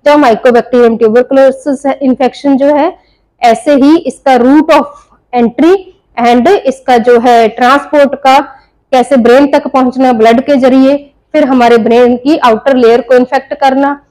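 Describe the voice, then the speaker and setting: A woman's voice: high-pitched, steady tone, a little slow, dark tone, rough recording. A woman teaching a nursing class, explaining step by step and answering her own questions. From India. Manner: explains in Hindi mixed with English terms, asks and answers own questions. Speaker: nursing tutor. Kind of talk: explanatory lecture.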